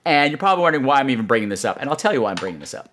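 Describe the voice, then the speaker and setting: A man's voice: medium pitch, sing-song, rapid-fire, fairly bright tone. A man hosting a podcast in a casual, comedic storytelling monologue, rambling with sarcastic asides and some swearing. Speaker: podcast host.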